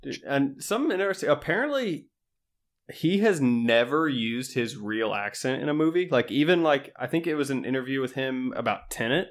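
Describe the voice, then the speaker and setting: A male podcast co-host in the middle of the range, loose and jokey. He swears freely and gets animated when telling a story. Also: mid-range voice, normal speed. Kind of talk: casual film-podcast chat.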